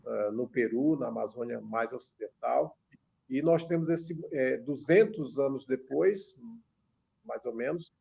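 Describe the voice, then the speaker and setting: Brazilian radio guest in his 50s; gravelly voice, measured delivery, muffled lo-fi broadcast. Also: medium pitch, mellow. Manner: measured, many filler pauses. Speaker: author and religious researcher guest. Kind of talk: radio interview, explaining. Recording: dull lo-fi radio sound.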